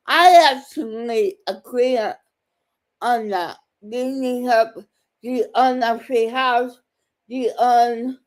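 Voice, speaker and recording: Slow-talking, blunt woman, fairly high voice. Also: darkish tone, poor audio. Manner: blunt, disjointed phrasing. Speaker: reaction commentator. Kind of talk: casual reaction commentary.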